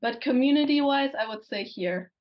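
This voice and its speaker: A woman's voice: high voice, normal speed. Chatty young woman on muffled call. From Germany. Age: young adult. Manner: chatty, upbeat, talks freely. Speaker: guest. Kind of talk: casual conversation.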